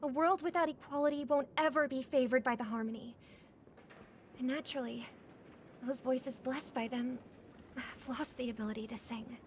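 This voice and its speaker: A woman playing a resolute, idealistic young woman, speaking with measured, earnest solemnity as a disillusioned video game character. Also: high voice, slight background noise, lightly acted. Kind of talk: scripted video game voice acting.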